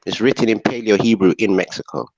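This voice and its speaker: A man's voice: lowish voice, steady tone, fast talker, a little mellow, dry recording, muffled recording. A man with a West Indian accent, arguing his point insistently and repeating himself in a casual debate. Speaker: guest.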